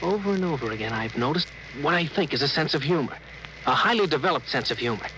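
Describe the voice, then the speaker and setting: A man's voice: on the high side, lively intonation, fast, lightly acted. Earnest ship's officer, played by a man, noisy vintage radio. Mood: earnest, puzzled. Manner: respectful, earnest, hesitant. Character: earnest, deferential spaceship officer. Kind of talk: 1950s radio drama acting.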